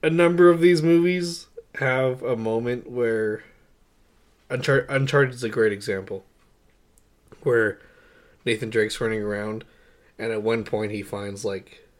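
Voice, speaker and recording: A man's voice: fairly low pitch, steady tone, a little slow. A man co-hosting a podcast, chatting casually and informally about movies. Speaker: podcast co-host. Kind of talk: casual movie review chat.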